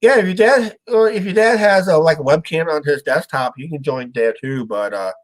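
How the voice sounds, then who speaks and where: A man's voice: a little high, a little fast, no echo. A man hosting a casual live-stream chat, rambling with lots of uh's and restarts, his voice sounding stuffed up and nasal. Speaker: show host.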